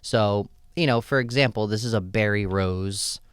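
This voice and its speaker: A man's voice: on the low side, moderate pace, clean tone, no room sound, studio quality. A man who owns a shop, answering interview questions in a casual, loose way with lots of "right?" and "you know". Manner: relaxed, loose, many fillers and "right?" tags. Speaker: store owner, guest.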